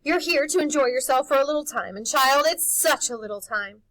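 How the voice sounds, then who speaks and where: A woman's voice: sits high, animated, brisk, fairly bright tone, no room sound, pitched above the performer's own voice. A woman voicing an anxious, kindly older housekeeper in a storybook, giving grave, pleading warnings.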